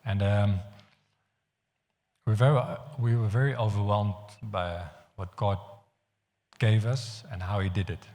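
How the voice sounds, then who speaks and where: Deep-voiced Dutch man preaching in a hall. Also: steady tone, darkish tone. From Netherlands. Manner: earnest, halting with restarts. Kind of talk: sermon. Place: church hall. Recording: long hall reverb.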